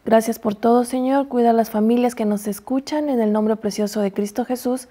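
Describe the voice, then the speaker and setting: A woman's voice: fairly high pitch, steady tone, a little fast, warm tone. A woman in her forties with a Mexican accent, a university teacher talking animatedly in a Bible study panel on a TV studio lapel mic. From Chiapas, Mexico. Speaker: university health sciences teacher.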